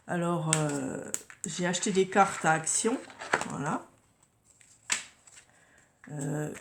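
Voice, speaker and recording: A woman's voice: fairly low pitch, creaky, a little mellow, a little hiss or hum. A woman hosting a casual crafting vlog, hesitant with many fillers, sounding stuffy from a cold and sore throat. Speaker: craft channel host.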